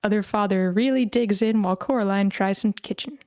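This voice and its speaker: A woman narrates screenplay action lines at a table read. Her pitch is average for a woman. The sound is dry, with little room around it. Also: fairly quick, darkish tone, dry recording. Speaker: stage-direction reader.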